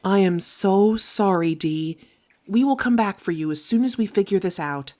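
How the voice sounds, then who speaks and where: A woman's voice: mid-range voice, moderate pace, mellow, lightly acted. A woman playing a formal, caring sister who speaks without contractions in an audio drama. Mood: concerned.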